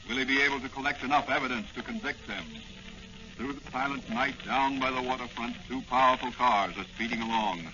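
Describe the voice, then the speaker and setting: A man's voice: medium pitch, dull audio. A man narrating in a dramatic, declamatory 1940s radio serial style. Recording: band-limited old radio recording.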